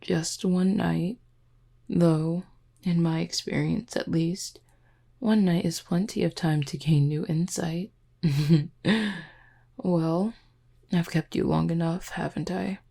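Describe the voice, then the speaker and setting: A woman voicing a flirty, composed female werewolf second-in-command in an audio roleplay, teasing and measured, with a low, husky voice. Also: fairly even intonation, mellow, heavily acted. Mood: playful, teasing. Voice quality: low, husky, breathy with some creak. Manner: teasing, measured, deliberate wording. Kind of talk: roleplay audio drama, intimate one-sided dialogue.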